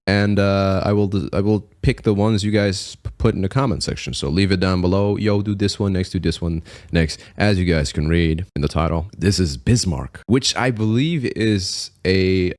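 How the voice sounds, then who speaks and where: Young man, deep voice, from Belgium, American accent, slangy, rambling, reaction host. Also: a little mellow. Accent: American-sounding, picked up from rap. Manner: rambling, self-correcting, slangy. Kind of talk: casual reaction commentary.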